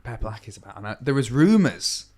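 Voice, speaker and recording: A man's voice: mid-range voice, sing-song, fast talker, darkish tone. A man turning thirty, a first-time podcast guest, chatty and teasing, with plenty of "like" in his speech. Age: 30s. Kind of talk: casual chat.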